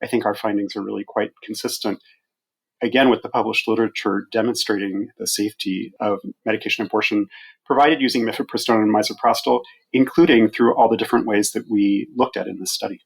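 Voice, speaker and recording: A man's voice: on the low side, steady tone, fast, vocal fry, slightly rough, rough recording. A middle-aged American man speaking as an expert physician guest, explaining evidence in an interview.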